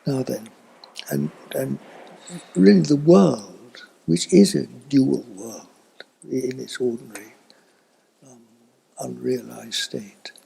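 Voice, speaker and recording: An elderly man giving a slow, reflective spiritual talk in a husky, breathy voice that often fades toward a whisper, with long thoughtful pauses. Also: medium pitch, dark tone, low-quality recording. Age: older, elderly. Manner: contemplative, halting with long pauses. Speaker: spiritual teacher.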